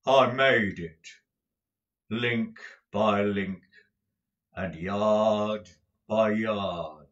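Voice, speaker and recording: Storybook chained ghost, male, voiced by a man, slow, grave, mournful, words drawn out. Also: on the low side, fairly bright tone, lo-fi sound. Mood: solemn.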